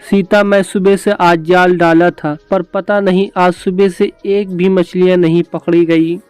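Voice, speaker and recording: A man's voice: sits high, flat delivery, unhurried, darkish tone, clear, resonant tone, no room sound, soft background music. A man voicing a poor, henpecked village fisherman in a cartoon story, meek and plaintive. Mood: weary.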